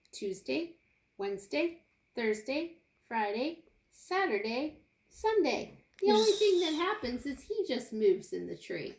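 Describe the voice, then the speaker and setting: A woman with a fairly high voice reads a picture book to young children as their teacher. She takes it very slowly and expressively, stopping to put questions and asides to her listeners. The sound is roomy and echoey. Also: gravelly. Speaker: kindergarten teacher.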